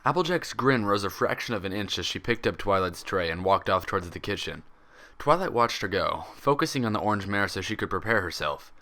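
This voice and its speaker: A man's voice: on the low side, animated, brisk, pushed and bright. A man reading fan fiction aloud as scripted story narration. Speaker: fan fiction audiobook reader.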